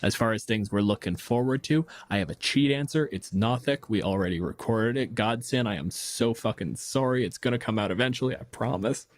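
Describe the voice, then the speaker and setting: Male podcast co-host, fairly low voice, close dry sound, blunt and emphatic casual talk. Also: fairly quick, few pauses, dry recording. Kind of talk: casual opinionated tabletop gaming chat.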